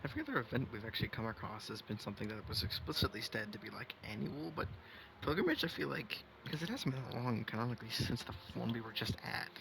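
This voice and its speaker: A male gamer comments casually on play, thinking aloud in a rambling way. His mid-pitched voice is full of creaky fry, with clear noise behind it. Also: expressive, quick pace. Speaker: streamer playing a video game.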